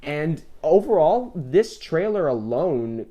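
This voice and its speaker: A man's voice: fairly high pitch, big pitch swings, slow, dark tone, slight background noise. A man co-hosting an enthusiastic, casual discussion about films, opinionated and stressing his points with repetition. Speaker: podcast co-host.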